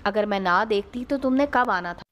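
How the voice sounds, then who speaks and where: A woman's voice: medium pitch, normal speed, lightly acted. Woman reading as teasing, scolding auntie. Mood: teasing reproach.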